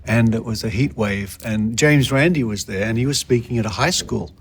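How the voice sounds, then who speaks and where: A man's voice: medium pitch, moderate pace, a little hiss or hum. An Australian man hosting a podcast, chatting casually as he interviews a guest.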